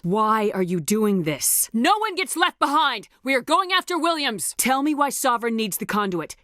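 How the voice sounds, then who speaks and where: A woman's voice: medium pitch, a bit bright, no echo, studio quality, lightly acted. A woman playing a determined, commanding military officer in a video game, speaking in a firm, clipped, authoritative way. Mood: pleading, intense, then urgent, shouting. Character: commanding female military officer, steely, determined space soldier. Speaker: voice actor. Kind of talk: video game dialogue acting.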